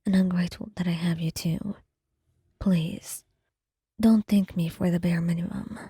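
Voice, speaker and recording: A woman voicing a calm, caring male partner in a hushed, earnest whisper. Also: on the low side, a little slow, slightly rough, dry recording, studio quality, voice pushed down, heavily acted. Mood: tender. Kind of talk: whispered ASMR roleplay.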